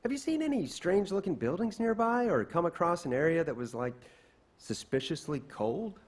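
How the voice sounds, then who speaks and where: An actor playing a playful, easygoing young chef and fighter in a video game. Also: male voice, fairly high pitch, big pitch swings, normal speed. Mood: coaxing, casual. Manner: playful, breezy, teasing.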